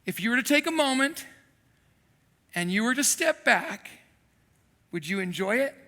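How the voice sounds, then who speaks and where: A man preaching a sermon as a pastor, telling animated, self-deprecating stories from his childhood in Thailand. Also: extremely high pitch, normal speed. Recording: long hall reverb.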